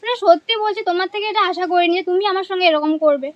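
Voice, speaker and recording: Very high-voiced young woman, speaking fast. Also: dry recording, muffled recording. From West Bengal, India. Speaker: prank caller, vlogger. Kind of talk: casual prank phone call.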